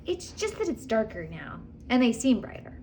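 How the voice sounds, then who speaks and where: A woman's voice: on the high side, wildly swooping pitch, normal speed, darkish tone. Lady doing nerdy earnest storybook kid, explainy, matter of fact, noisy audio. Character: earnest, know-it-all bookish boy.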